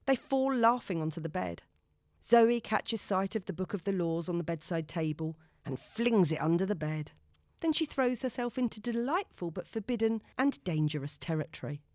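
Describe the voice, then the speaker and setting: A woman's voice: fairly low pitch, darkish tone, no echo, clean studio sound. A woman reading scripted novel narration as an audiobook narrator.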